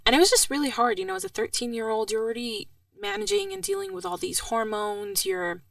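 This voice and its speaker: A woman in her twenties whose first language is Arabic tells her story in an animated, swooping way, with a bright, sharp tone and heavy vocal fry. She talks casually, full of fillers, as a podcast guest. The voice sits a little off the mic in a dry, dead room. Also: sits high, brisk, no room sound, distant mic. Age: 20s. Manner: expressive storytelling with frequent fillers. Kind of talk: casual interview chat.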